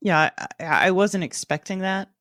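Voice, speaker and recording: A woman's voice: lowish voice, moderate pace, a bit bright, dry recording, clean studio sound. A woman co-hosting a book podcast, talking casually and with a playful, jokey manner. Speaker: podcast co-host. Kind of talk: casual book-club discussion.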